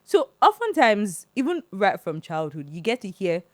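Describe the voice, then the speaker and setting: Lively young Nigerian woman telling stories. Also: mid-range voice, moderate pace, dry recording. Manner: animated storytelling, acting out quotes. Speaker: podcast co-host. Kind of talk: casual chat.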